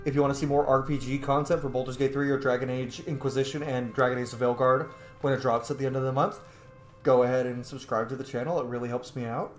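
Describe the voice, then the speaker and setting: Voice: medium pitch, fairly even intonation, quick pace, soft background music. A gaming video host giving casual, opinionated let's-play commentary with geeky, rambling tangents. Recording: roomy echo, faint background noise.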